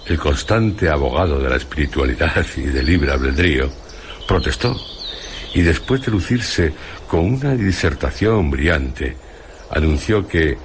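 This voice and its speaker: An actor playing a grave, reflective narrator of a dark tale, solemn and deliberate. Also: male voice, a bass voice, a little hiss or hum, full character voice. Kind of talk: dramatised radio storytelling.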